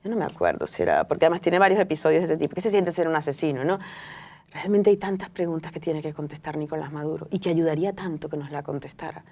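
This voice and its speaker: A woman's voice: low voice, lively intonation, fast talker, darkish tone. A middle-aged woman, speaking reflectively as a guest in an interview, often restarting her sentences. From Venezuela. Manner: reflective, frequent restarts and fillers. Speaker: author and guest. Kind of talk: interview conversation.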